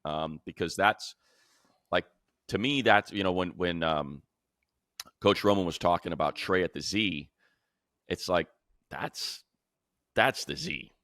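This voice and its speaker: A man's voice: low-pitched, measured pace, long pauses, fairly bright tone, dry recording, studio quality. An American man giving casual, emphatic football analysis as a show host, repeating phrases for stress.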